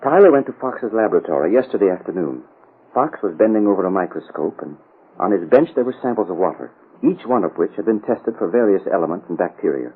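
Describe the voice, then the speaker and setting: An actor playing an earnest, aggrieved doctor in a 1930s radio drama, formal and insistent, with a husky voice. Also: male voice, deep voice, lively intonation, fast talker. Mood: recounting. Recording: muffled, phone-like old radio.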